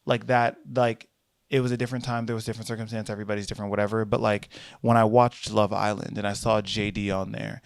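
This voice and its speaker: A young American man talks through a solo commentary in a slightly low voice, rambling from point to point and leaping up into high mocking tones when he quotes others. The sound is close and dry, with no room in it. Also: little pitch movement, quick pace, gravelly, clean tone, no room sound. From United States. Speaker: podcast host.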